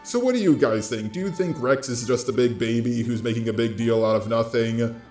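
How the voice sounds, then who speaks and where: A man's voice: mid-range voice, a little fast, a little mellow. A man speaks in a middle-range voice with noticeable room echo. He narrates like an online commentator, working through a scripted but informal take. Faint music plays underneath. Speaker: YouTube drama commentator.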